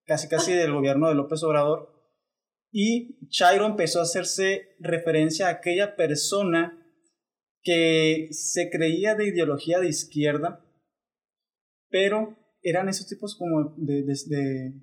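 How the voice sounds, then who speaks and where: Mexican guy, voice a bit on the high side, rambling and joking while he explains stuff on a chill podcast. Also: unhurried. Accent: Mexican Spanish. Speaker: podcast co-host explaining a term. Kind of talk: casual group chat.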